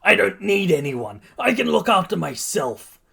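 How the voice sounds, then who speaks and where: Guy doing a hotheaded teen boy rival, loud and combative, voice pushed up high, anime fan story. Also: sing-song, normal speed, creaky, breathy edge, exaggerated voice. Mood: defiant. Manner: shouty, combative. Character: brash, hot-tempered teenage boy hero, explosive rival.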